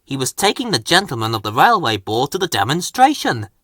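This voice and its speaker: A man's voice: on the high side, big pitch swings, fairly quick, sharp tone, no echo, studio quality. A man reading a children's story aloud as a scripted narration. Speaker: storybook reader.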